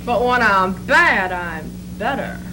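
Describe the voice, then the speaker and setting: A woman's voice: mid-range voice, expressive, takes it slowly. A woman playing a brazen, flirtatious 1930s film vamp with a languid, suggestive delivery on a noisy stage recording. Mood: sultry, teasing. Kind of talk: 1930s film star impression in readers theatre.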